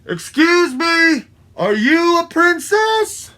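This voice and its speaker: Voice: medium pitch, big pitch swings, takes it slowly, fairly bright tone, pitched above the performer's own voice, full character voice. A storyteller voicing a naive, eager little storybook frog, earnest and dramatically drawn out. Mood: eager, hopeful.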